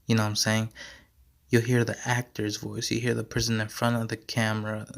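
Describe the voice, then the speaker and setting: A young man hosting his own podcast, rambling in a slangy, repetitive way and going hoarse as he talks. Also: lowish voice, monotone, fairly quick. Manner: slangy, repeats himself, many fillers. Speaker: podcast host. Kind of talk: casual rambling monologue.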